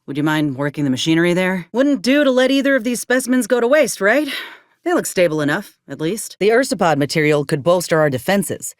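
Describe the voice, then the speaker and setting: A woman's voice: on the low side, sing-song, fairly quick, dry recording, studio quality. An actress playing an earnest, determined young woman soldier with folksy rural turns of phrase in a video game. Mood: thoughtful, practical. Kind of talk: video game character dialogue.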